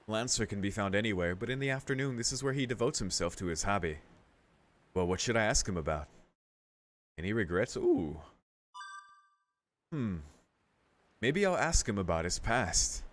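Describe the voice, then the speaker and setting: Low-voiced man doing casual game commentary. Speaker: streamer playing and reading a visual novel aloud. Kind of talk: casual let's-play commentary and narration.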